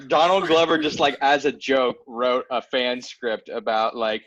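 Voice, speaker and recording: A man's voice: medium pitch, little pitch movement, a little slow, crowd noise. Casual man on slightly dull call audio. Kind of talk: casual chat.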